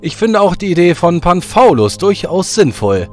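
Casting: male actor as thundering, authoritative divine ruler for fantasy radio play, animated swings in pitch. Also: normal speed, soft background music. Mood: jubilant, commanding. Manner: stern, booming. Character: stern, thundering ruler of the gods.

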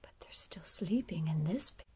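A woman reading a picture book aloud to young children, often hushed, with exaggerated wonder. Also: on the low side, animated, normal speed, slightly rough, in a whisper. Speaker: storyteller and children's music teacher.

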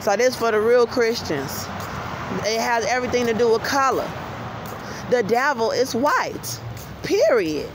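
A woman's voice: a little high, animated, measured pace. American woman ranting to her phone walking outside, insistent, repeats herself, lots of city noise. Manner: insistent, emphatic, repeats phrases. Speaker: vlogger. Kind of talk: livestream-style monologue to camera while walking. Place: outdoors on a city street at night, walking. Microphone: handheld phone at arm's length. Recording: noisy phone recording on city street. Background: traffic and street noise.

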